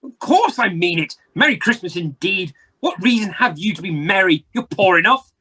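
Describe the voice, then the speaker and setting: A man playing a grumpy, miserly old uncle in an amateur radio play, raspy, curt and dismissive. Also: high-pitched, very animated, moderate pace, pushed and bright, full character voice. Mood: scornful.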